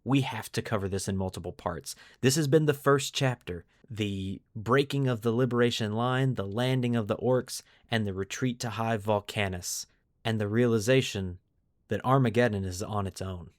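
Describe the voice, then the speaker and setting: A man's voice: lowish voice, normal speed, dry recording, studio quality. A man hosting a podcast, moving between storytelling narration and casual discussion. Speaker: podcast host.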